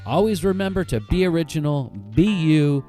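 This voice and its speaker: A man's voice: fairly high pitch, animated, moderate pace, warm tone. A man hosting a podcast, delivering a casual promotional announcement with guitar music behind him. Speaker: podcast host.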